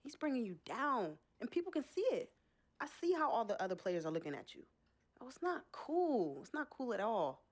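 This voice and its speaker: A woman's voice: mid-range voice, lively intonation, normal speed, lightly acted. Protective twin sister and manager woman acting, game cutscene. Young, frank, no-nonsense, mid-range voice. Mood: upset, scolding. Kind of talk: dramatic cutscene dialogue.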